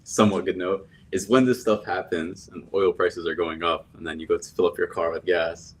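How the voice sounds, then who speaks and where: A man on a trading panel with a very deep, low voice. He speaks in a relaxed, laid-back manner during casual chat. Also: a little fast, slightly rough.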